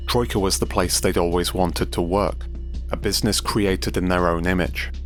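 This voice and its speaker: A man's voice: low voice, steady tone, normal speed, faint music behind. A man delivering scripted documentary narration about the past.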